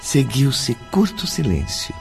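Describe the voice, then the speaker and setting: A man reading scripted radio drama narration in a measured, literary manner. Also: medium pitch, big pitch swings, gravelly, a little mellow, faint music behind.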